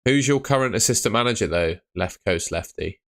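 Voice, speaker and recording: A man's voice: fairly low pitch, animated, brisk, a bit bright. A young man who is losing his voice, streaming from his room at home and answering viewer questions in a blunt, chatty way. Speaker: fantasy football streamer.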